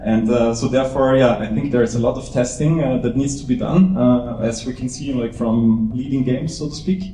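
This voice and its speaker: An Austrian man with a German-speaking accent talks through his points on a conference panel, chatty and loose. His voice is dark and mellow, picked up from a distance in a roomy hall with faint music underneath. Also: mid-range voice, moderate pace, a little hiss or hum. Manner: chatty, tags remarks with "yeah" and "you know".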